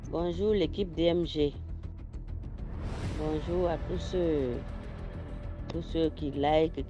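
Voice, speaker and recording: A man from Ivory Coast with an Ivorian French accent, slowly telling a personal mystical story in a phone voice note and repeating phrases for listeners. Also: fairly high pitch. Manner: slow, repetitive storytelling addressed to listeners. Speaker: caller sharing a story. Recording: dull voice note over loud music.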